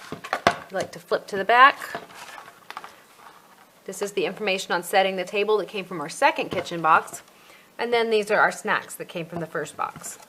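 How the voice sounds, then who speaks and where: A middle-aged woman gives a casual product walkthrough in her home. Her voice sits at an average pitch, and she speaks in an explanatory, conversational way, as a homeschooling parent would to other parents. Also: sharp tone.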